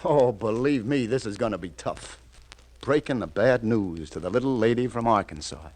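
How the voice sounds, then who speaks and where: Radio crime drama police lieutenant, male actor: deep-leaning voice, very animated intonation, hesitant and apologetic, stumbling over his words. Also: heavy background noise, lightly acted. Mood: businesslike. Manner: hesitant, stammering, halting. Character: awkward, apologetic police detective lieutenant breaking bad news.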